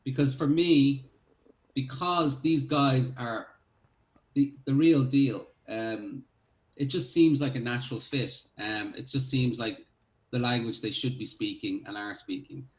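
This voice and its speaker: A man's voice: mid-range voice, a little slow, echoey room. An Irish man, a filmmaker, answering interview questions in a reflective way with many fillers and restarts. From Ireland. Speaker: filmmaker who cast the film.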